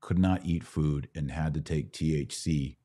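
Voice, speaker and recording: A man's voice: very deep voice, unhurried, mellow. A man hosting a conversational religious question-and-answer podcast, teaching emphatically and repeating key words for stress. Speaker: podcast host.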